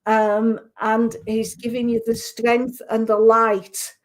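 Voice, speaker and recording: A woman with a Yorkshire accent giving a live psychic reading on the radio, gentle and reassuring, often restarting her sentences. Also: fairly high pitch, flat delivery, takes it slowly.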